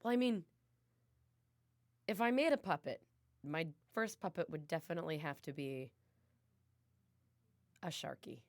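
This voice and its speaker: A woman's voice: deep voice, animated, a little slow, pauses often, no room sound. A young woman co-hosting a casual podcast, rambling playfully with lots of restarts. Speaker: radio show co-host.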